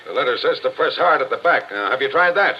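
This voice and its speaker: An actor playing an impatient, insistent investigator with a blunt, slangy, urgent manner, in 1940s radio drama style. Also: male voice, mid-range voice, lively intonation, fast talker, a little hiss or hum, close to an everyday voice. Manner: pushy, urgent, colloquial. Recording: dull old radio recording.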